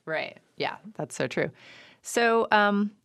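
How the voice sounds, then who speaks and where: Gravelly-voiced woman radio host. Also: medium pitch, lively intonation, slow, fairly bright tone, no echo, studio quality. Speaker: radio show host and record label owner. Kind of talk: scripted intro, then interview questions.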